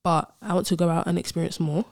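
A woman's voice: fairly low pitch, fairly even intonation, quick pace, a little mellow, no room sound, studio quality. A woman, hosting a casual solo podcast and telling stories in a chatty, slangy way. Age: 20s. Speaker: podcast host.

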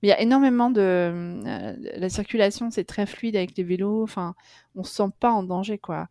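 A middle-aged French woman chats casually as an interview guest. She hesitates often, fills pauses with "euh", and restarts her sentences. The sound is dry and close, with a medium pitch. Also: lively intonation, dark tone, no room sound. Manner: chatty, hesitant with many fillers and restarts.

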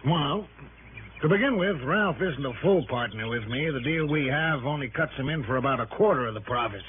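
A man's voice: mid-range voice, expressive, fairly quick, lightly acted. An actor playing a brash, chummy businessman in a 1960s radio drama, talking in folksy slang like a boastful salesman. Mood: earnest. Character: brash, chummy male businessman hawking his soft drink. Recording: noisy, narrow-band old radio broadcast.

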